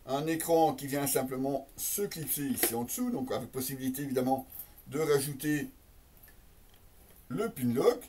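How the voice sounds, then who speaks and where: A man's voice: medium pitch, normal speed, a little mellow, distant mic, a little hiss or hum. A man casually presenting an unboxing, explaining as he goes in an improvised, hesitant way and speaking directly to viewers. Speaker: product reviewer and presenter.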